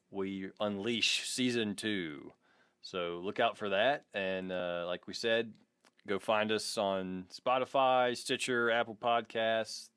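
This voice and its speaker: A man's voice: on the low side, a bit bright, clear, resonant tone, dry recording. Male podcast co-host, dry close sound. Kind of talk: casual comedic podcast chat.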